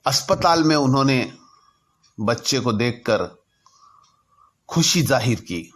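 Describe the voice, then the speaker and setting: Male narrator for anecdotes: medium pitch, unhurried and deliberate, phrase by phrase. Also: expressive, fairly bright tone. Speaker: narrator telling his own anecdotes. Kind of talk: storytelling narration.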